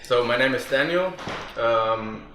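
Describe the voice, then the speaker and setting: A man raised in Bavaria, introducing himself as a panel guest in a relaxed, slightly hesitant way. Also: mid-range voice, unhurried, echoey room, slight background noise. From Germany, raised in Bavaria. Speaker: guest, technical writer. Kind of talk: casual chat.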